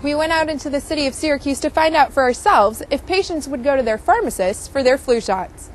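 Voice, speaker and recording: Young female news anchor, crisp measured read, slightly high voice, faint music underneath. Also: lively intonation. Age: young adult. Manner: crisp, measured newsreader delivery. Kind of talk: scripted news narration.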